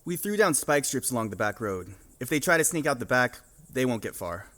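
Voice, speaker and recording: A man acting out an overconfident field agent whose pitch moves a lot as he speaks. He delivers his reports casually and matter-of-factly. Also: very animated, fairly quick, fairly bright tone.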